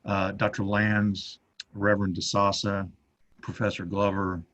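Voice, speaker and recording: A man moderating an online panel discussion in a halting, tentative and courteous manner, over video call audio. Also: lowish voice, flat delivery, a bit bright. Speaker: session moderator.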